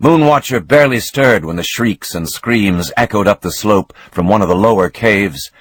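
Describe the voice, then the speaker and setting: A man reading a novel aloud as narrator, in a measured, expressive storytelling manner with a husky voice. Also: lowish voice, no echo.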